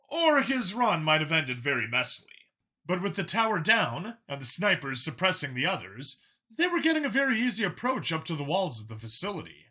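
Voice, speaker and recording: A man narrates a scripted story in a dramatic audiobook style. His voice sits a little high and has a bright, crisp edge. The intonation is lively and varied.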